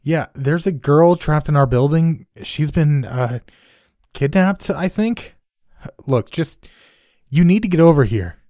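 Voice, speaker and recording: A man's voice: fairly high pitch, expressive, slow, dark tone, no echo, clean studio sound, pitched above the performer's own voice. A man voicing a panicked young man pleading with the police on the phone, urgent and swearing. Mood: frantic.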